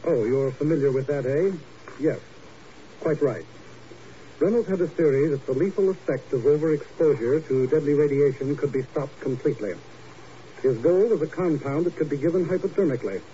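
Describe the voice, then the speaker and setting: A slightly muffled vintage radio recording features a man playing a self-assured scientist. The voice is very dark and soft-toned though a touch high, with clipped, pompous, dismissive phrasing in 1950s radio drama style. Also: fairly quick, slight background noise, natural voice.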